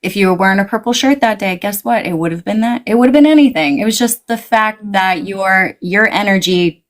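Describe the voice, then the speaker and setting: A woman guest in casual conversation, talking animatedly, swinging her pitch up and quoting her own reactions. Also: brisk.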